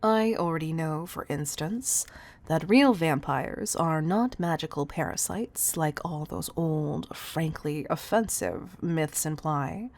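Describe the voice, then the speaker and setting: Woman acting stern, low-voiced female CEO. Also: darkish tone. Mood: measured, lecturing. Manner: exasperated, clipped, deliberate emphasis. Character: stern, commanding female CEO scolding an employee. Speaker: audio roleplay performer. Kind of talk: scripted audio roleplay monologue.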